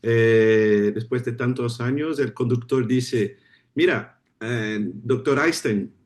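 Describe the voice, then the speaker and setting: Brazilian man speaking halting Spanish. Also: medium pitch. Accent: Brazilian Portuguese. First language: Portuguese. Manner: friendly, halting non-native Spanish with repeated words. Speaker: guest speaker. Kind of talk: informal talk opening a lecture. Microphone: video call.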